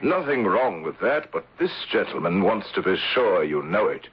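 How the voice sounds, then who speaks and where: An actor playing a shrewd, wary English insurance manager in a radio drama, speaking in a measured, formal way. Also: male voice, low voice, big pitch swings, slightly rough, full character voice. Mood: curious, suspicious. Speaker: actor narrating and playing the lead. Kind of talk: 1970s radio drama acting. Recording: dull old radio recording with music.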